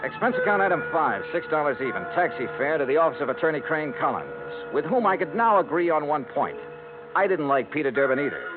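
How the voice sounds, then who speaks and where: Wry hard-boiled radio detective, lively pitch. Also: male voice, brisk, phone-quality sound. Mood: dry, matter-of-fact. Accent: American. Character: shrewd, persistent male insurance investigator, dry and tough. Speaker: actor playing the lead and narrator. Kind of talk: 1950s radio detective acting. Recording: thin vintage radio recording with music.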